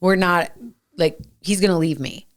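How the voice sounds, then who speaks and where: Smooth-voiced woman co-hosting a podcast. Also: fairly low pitch, normal speed. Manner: reflective, agreeing with quiet backchannels. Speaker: podcast co-host. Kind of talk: casual conversational podcast.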